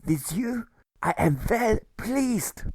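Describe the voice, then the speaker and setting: Audiobook role: male reader as fatherly German gentleman, raised pitch, tender and kindly. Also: animated, takes it slowly, creaky, no room sound, studio quality, exaggerated voice. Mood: tender, pleased. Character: good German, tender fatherly old man.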